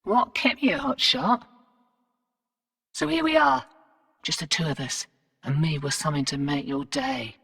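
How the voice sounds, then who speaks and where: An actress playing a brash, wry, streetwise space-colony fixer in a video game, with a laconic, cocky, slangy drawl. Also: female voice, low-pitched, animated, a little slow, creaky, long pauses, fairly bright tone, in a large hall, far from the mic, exaggerated voice. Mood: wry, casual. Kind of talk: scripted video game character dialogue.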